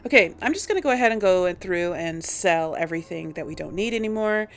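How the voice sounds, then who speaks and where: A woman's voice: fairly low pitch, expressive, fast talker, a little hiss or hum. A woman giving casual gameplay commentary as a host, hesitantly thinking aloud. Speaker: host of a game role-play series.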